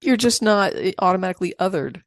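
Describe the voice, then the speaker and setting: A woman's voice: medium pitch, lively intonation, fast talker, dry recording, clean studio sound. A woman host affirming and summing up what her guest said. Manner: affirming, sums up guest's point. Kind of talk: interviewer responses.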